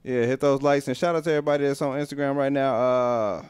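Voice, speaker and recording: A man's voice: mid-range voice, fairly even intonation, quick pace, a little mellow, clean tone. A man hosting a radio show with casual banter, in an informal, slangy way with repeated phrases.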